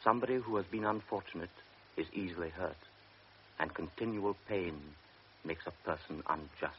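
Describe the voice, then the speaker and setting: A man narrating a radio drama in a measured, grave manner. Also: deep voice, slight background noise. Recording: dull old radio recording with music.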